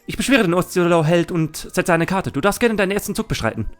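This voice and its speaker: A man's voice: high voice, expressive, fairly quick, soft background music, pitched above the performer's own voice. A man voicing a cocky, sneering duelist who taunts and boasts, in an anime fan audio drama. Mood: boastful. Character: cocky, sneering male Thunder Dragon card duelist.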